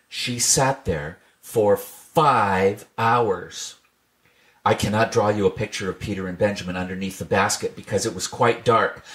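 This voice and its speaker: A man reading a classic children's storybook aloud in a gentle, measured storytelling manner. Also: on the low side. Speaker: reader.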